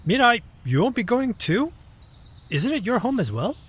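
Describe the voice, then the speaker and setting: A woman's voice: fairly high pitch, sing-song, normal speed, slightly rough. A woman voicing a friendly, encouraging young supernatural creature in an upbeat, casual way for an audio drama.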